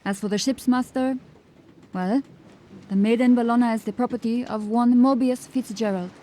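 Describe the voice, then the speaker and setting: A sly, breezy female smuggler captain, played by a woman, talking her way out of trouble in a mellow, warm voice a little higher than most. Faint ambient noise sits behind her, as in an audio drama. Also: steady tone, normal speed, lightly acted. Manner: breezy, evasive, gently mocking. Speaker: ship captain.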